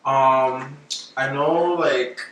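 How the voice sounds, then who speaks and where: A man's voice from a very echoey room, set back from the microphone. He talks rather slowly as he hosts a casual podcast, full of slangy, cheeky banter and giggles. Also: mid-range voice, steady tone, fairly bright tone. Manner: sassy, slangy, profane banter. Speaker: podcast host. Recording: echoey room, far mic, faint noise.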